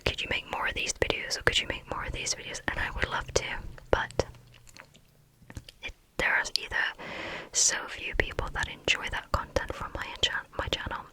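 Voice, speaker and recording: A woman answering viewer questions in a frank, chatty ASMR whisper, often pausing on "um". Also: bright, forceful tone, low-quality recording. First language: English.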